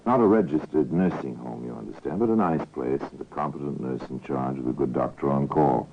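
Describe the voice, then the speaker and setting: Very low male voice, knowing mystery narrator, insinuating, old radio drama, thin vintage sound. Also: vocal fry, breathy edge, full character voice. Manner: measured, insinuating, rhetorical. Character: knowing, ominous male storyteller of a mystery tale. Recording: muffled narrow-band vintage radio recording.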